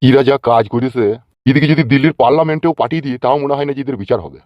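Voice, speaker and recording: A man's voice: mid-range voice, very fast, dry recording, dull audio, close to an everyday voice. A man voicing a blustering, bewildered middle-aged police officer in a cartoon. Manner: blustering questioning.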